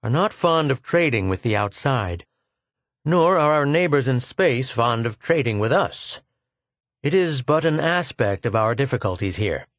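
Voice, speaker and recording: A man's voice: mid-range voice, lively intonation, measured pace, no room sound, lightly acted. A man doing a grim, weary old physicist. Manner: grim, earnest and somber. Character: weary, haggard older male physicist, grim.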